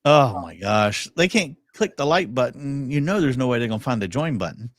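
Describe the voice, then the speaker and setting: A man's voice: medium pitch, fairly quick, no room sound. A man co-hosting a court commentary live stream, talking casually and throwing out rhetorical questions. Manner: animated, exclaiming, rhetorical questions.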